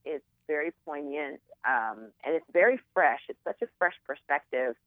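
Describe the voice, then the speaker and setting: A woman guest actress chatting in a radio interview, bubbly and quick to laugh. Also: sits low, lively intonation, no room sound. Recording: tinny phone line.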